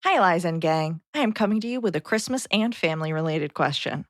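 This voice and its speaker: A woman's voice: on the low side, animated, quick pace, dry recording. American woman co-host, dry studio sound. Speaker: podcast co-host. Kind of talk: casual chat.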